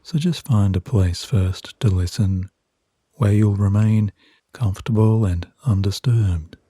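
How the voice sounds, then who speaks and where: A man narrating guided sleep hypnosis in a soothing, hushed, measured way with long pauses between phrases. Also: on the low side, breathy edge, no room sound.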